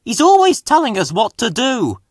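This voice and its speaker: Squeaky cheeky kid train, whiny and mischievous, really swoopy storybook voice. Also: male voice, wildly swooping pitch, normal speed, no room sound, clean studio sound, heavily acted. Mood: cross, mocking. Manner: mocking, rude, whining. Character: Bill and Ben, cheeky mischievous young twin engines.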